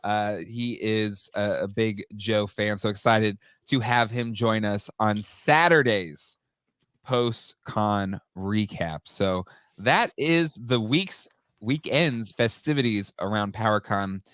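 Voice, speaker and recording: A man's voice: fairly low pitch, slow pace, fairly bright tone, clear, resonant tone, dry recording. A man in his thirties or forties co-hosting a live toy show, slightly nasal from a cold, chatty and upbeat with many fillers. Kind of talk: casual live-stream chat.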